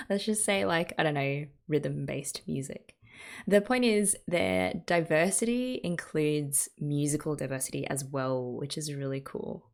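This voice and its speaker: A woman's voice: sits low, gravelly. A young Australian woman hosting an anime reaction video, chatting casually and giggling often as she reacts. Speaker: anime reaction channel host.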